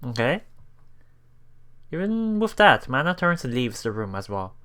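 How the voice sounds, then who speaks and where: A let's play host casually commenting on a visual novel and reading its lines aloud in a halting, chuckling way. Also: mid-range voice, sing-song, fairly bright tone, smooth. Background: visual novel background music.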